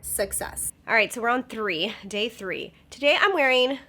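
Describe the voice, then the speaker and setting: A young woman with a slightly nasal voice chatting casually through a makeup tutorial, upbeat and sometimes dropping to a soft whisper. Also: fairly high pitch, animated, moderate pace. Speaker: beauty vlogger.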